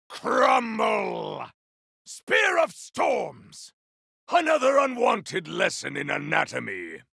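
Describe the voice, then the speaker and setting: A bombastic video game warrior, pitched very high for a male voice and full of rasping grit. The delivery is grandiose and theatrical, swinging widely in pitch as battle cries ring out with grim relish. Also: bright, forceful tone, dry recording, studio quality, heavily acted. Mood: wry musing. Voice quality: gravelly, rough-edged. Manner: grandiose, theatrical, formal uncontracted speech. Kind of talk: video game combat barks.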